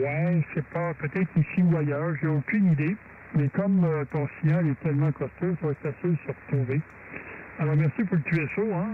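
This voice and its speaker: Quebecois guy, french speaker, chatting on ham radio, tinny narrow signal with lots of static. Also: a little high. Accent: Québécois. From Quebec, Canada. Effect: CB or ham radio transmission. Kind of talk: casual radio contact chat.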